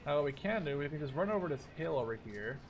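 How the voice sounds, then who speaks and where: A man's voice: a little high, animated, fast talker. A man in his twenties giving casual, sweary let's play commentary, thinking aloud as he plays. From Canada or the Netherlands. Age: 20s. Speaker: gaming video host. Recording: game gunfire and vehicle noise behind. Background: war game artillery, gunfire and vehicles.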